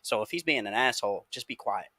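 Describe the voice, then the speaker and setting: A man's voice: fairly low pitch, lively intonation, fast talker, bright, forceful tone. A man co-hosting a podcast panel, building on another speaker's point in casual talk. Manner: agreeing, builds on others' points.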